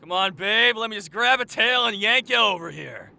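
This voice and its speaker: Brief: a man playing a cocky, flirty warrior with a high, gravelly, very bright voice. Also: animated, moderate pace, full character voice. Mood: leering.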